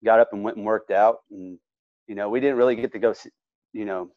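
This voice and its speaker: A man's voice: lowish voice, steady tone, brisk, no echo, dull audio. A man, an assistant wrestling coach, talking in an easygoing, matter-of-fact way in a casual interview.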